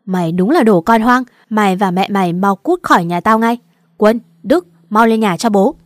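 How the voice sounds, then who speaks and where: A woman's voice: medium pitch, normal speed, no room sound, studio quality, close to an everyday voice. A woman voicing a cruel, enraged father who barks harsh commands in a dramatic audio story. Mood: furious.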